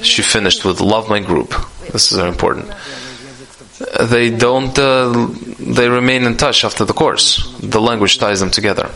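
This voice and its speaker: A man giving a live spoken interpretation of a question-and-answer session, halting often with restarts and fillers. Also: lowish voice, vocal fry, bright, forceful tone, rough recording.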